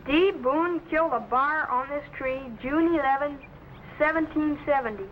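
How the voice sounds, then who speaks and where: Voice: high-pitched, moderate pace, natural voice. A young performer playing a keen, respectful boy assistant in a 1950s radio sci-fi show, earnest and obedient. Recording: dull lo-fi old radio, faint noise.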